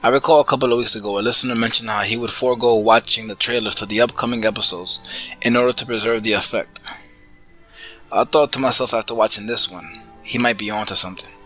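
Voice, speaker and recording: A man's voice: lowish voice, fairly even intonation, fast talker, sharp tone, faint music behind. A man from the Bronx sending in a prepared spoken review as a podcast listener, articulate and formally worded. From the Bronx, New York, United States.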